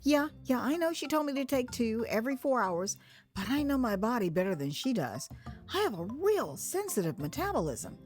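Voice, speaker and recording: An American woman narrating her own memoir as a dramatized first-person story, conversational and expressive, acting out moments as she goes. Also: fairly high pitch, moderate pace. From United States. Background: music.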